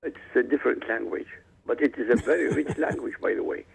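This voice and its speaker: A man's voice: mid-range voice, expressive, fairly quick, creaky, husky. A man whose first language is Arabic, a guest author speaking emphatically and combatively in a radio interview. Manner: emphatic, combative, addresses listeners directly. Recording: tinny phone line.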